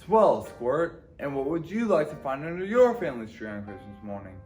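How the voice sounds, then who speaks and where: A man's voice: medium pitch, sing-song, moderate pace, a little mellow, faint music behind, lightly acted. An actor playing a cheery, folksy male Santa's helper and salesman in an old-time radio advertisement. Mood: cheery, salesy.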